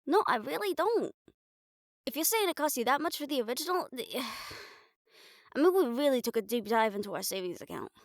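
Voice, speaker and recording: A woman playing a caring, blunt, teasing young girlfriend in an improvised audio roleplay, chatting casually and haltingly to the listener. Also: high voice, expressive, no room sound, studio quality. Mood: worried, hesitant. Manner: casual, halting, playful swearing, affectionate teasing.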